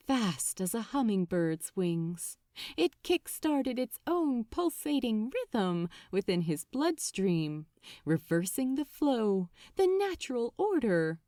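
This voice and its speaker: A woman reading fiction as an audiobook narrator, expressive and dramatic, with deliberate phrasing. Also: fairly high pitch, no room sound, studio quality.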